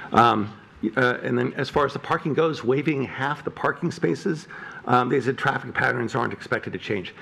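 A man's voice: mid-range voice, vocal fry, fairly bright tone. A middle-aged man speaking at a podium microphone in a council chamber, earnestly making critical points with frequent uh and um fillers. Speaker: resident giving public comment. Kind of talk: public comment at a zoning meeting.

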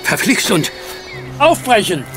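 An actor playing a brusque, skeptical and bossy police superintendent with a gravelly voice in a German audio drama. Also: male voice, sits high, sing-song, slow, music in the background, full character voice. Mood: startled. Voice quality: gravelly, creaky. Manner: brusque, barking orders.

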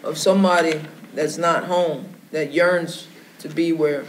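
Male writing student, high-pitched voice, casual group discussion. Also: fairly even intonation, measured pace, low-quality recording. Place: room inside a prison.

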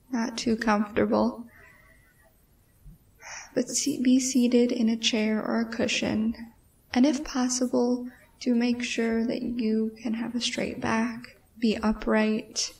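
Slow, breathy woman guiding meditation. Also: a little high, a little mellow, far from the mic. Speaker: meditation guide. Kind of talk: guided meditation.

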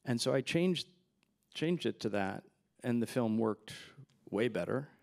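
A man's voice: mid-range voice, measured pace, creaky. A man in his forties, a filmmaker guest at a festival Q&A, telling rambling anecdotes into a handheld mic. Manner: relaxed, rambling anecdotes with fillers and laughs. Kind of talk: festival Q&A storytelling. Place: festival stage in front of a backdrop.

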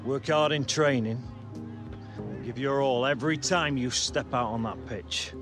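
An actor playing a stern, blunt middle-aged football manager in a video game cutscene. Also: male voice, mid-range voice, measured pace, over music, natural voice. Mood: firm. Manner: blunt, no-nonsense.

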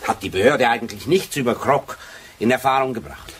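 An actor playing a shrewd, grumbling old police sergeant in a radio drama, gruff and wry. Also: male voice, mid-range voice, fairly quick, natural voice. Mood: wry, probing. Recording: faintly noisy radio drama recording.

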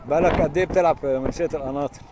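A man with an Egyptian Arabic accent interviewing players on a football pitch, brisk and repeating answers back. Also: background noise. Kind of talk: rapid quick-fire questions. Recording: outdoor crowd behind.

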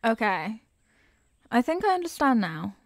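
A young apprentice witch, played by a woman, chats to the listener in an ASMR roleplay. Her voice is on the high side, eager and expressive, with a kindly, teasing manner. It is recorded close and dry, with no room around it. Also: unhurried, no echo. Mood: amused, puzzled. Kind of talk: ASMR character roleplay, scripted.

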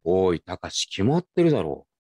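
A man's voice: sits low, big pitch swings, brisk, darkish tone, husky, no room sound, full character voice. Man acting a teasing older uncle. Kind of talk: radio drama acting in Japanese.

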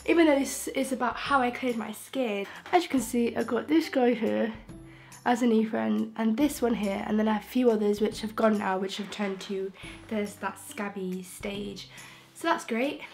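A young woman from England, croaky from a throat infection, chatting frankly and rambling with restarts as a beauty vlogger in her bedroom. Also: a little high, steady tone, moderate pace, darkish tone, faint music behind. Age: young adult. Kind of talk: casual chatty vlog. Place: bedroom, indoors. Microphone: camera in front, close framing.

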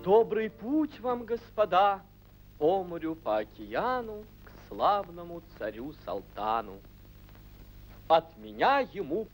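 An actor playing an earnest, wistful young prince, declaiming verse in a measured way in an old animated film. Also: male voice, high-pitched, full character voice, poor audio. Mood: courteous, solemn. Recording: old 1940s film soundtrack, faint music.

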